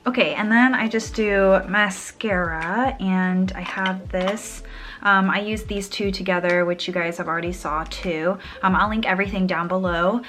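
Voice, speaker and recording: A woman's voice: mid-range voice, fairly even intonation, moderate pace, fairly bright tone, soft background music. A young woman chatting casually through a makeup tutorial, a little sniffly, rambling and trailing off mid-sentence. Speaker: beauty vlogger.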